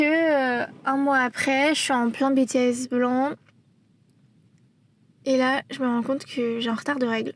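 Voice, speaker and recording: A woman's voice: high-pitched, recorded from a distance. A woman speaking candidly and informally, telling a personal story in a casual, conversational monologue as a podcast host.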